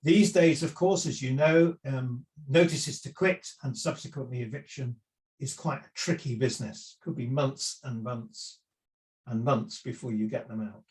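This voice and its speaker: A man's voice: medium pitch, a little slow, a little mellow, low-quality recording. A middle-aged man giving a seminar talk on property investment, conversational, with frequent fillers and restarts. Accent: none Welsh. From lived in South Wales for years.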